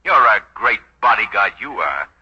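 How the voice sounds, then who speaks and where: An actor playing a suspicious, sarcastic police detective who badgers bluntly, in a 1940s radio drama. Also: male voice, lowish voice, big pitch swings, moderate pace, pushed and bright, slightly rough, exaggerated voice. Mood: accusing. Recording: dull, lo-fi 1940s radio recording.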